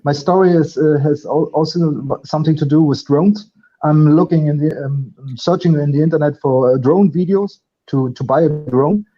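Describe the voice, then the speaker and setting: A man from Germany, a native German speaker, talking in halting English, often repeating words as he searches for them. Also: a little high, dark tone. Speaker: guest rider. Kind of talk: casual chat in non-native English. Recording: dull lo-fi stream audio.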